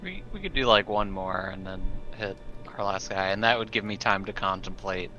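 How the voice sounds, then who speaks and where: A man's voice: on the low side, moderate pace, sharp tone, dull audio, faint music behind. A man chatting casually as a player in a tabletop roleplaying game. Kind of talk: casual game-table chat, some in-character speech.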